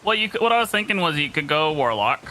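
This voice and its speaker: A man's voice: on the high side, very animated, fast talker, bright, forceful tone, a little hiss or hum, low-quality recording. A man co-streaming a game, chatting informally and thinking aloud as he explains things. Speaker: guest co-streamer. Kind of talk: casual chat during a game stream.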